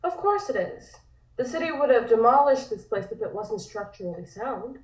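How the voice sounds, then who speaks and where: A woman's voice: on the high side, animated, normal speed, lightly acted. A woman playing a no-nonsense, matter-of-fact woman with a sardonic edge in a comic table read. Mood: dry, assured. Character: brisk, dry, no-nonsense woman. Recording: distant, dull, echoey microphone.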